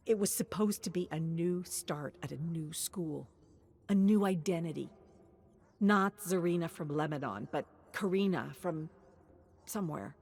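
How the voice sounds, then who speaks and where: A woman reading a scripted story in a measured, expressive, dramatic way, sometimes dropping to a hush. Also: sits low, slight background noise. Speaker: narrator of game lore stories.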